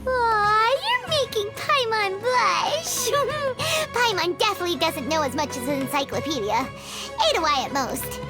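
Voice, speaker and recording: A woman voicing a small, excitable, food-loving flying fairy girl in a video game, squeaky and perky, speaking of herself by name. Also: a little slow. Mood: chatty. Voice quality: squeaky, childlike. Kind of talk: video game character voice acting. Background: music.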